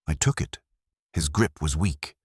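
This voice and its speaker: A man with a husky, gravelly voice narrating a dramatic scripted story in a solemn, measured way, in short clipped phrases. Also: extremely low pitch, darkish tone, dry recording, studio quality. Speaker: narrator of lore stories.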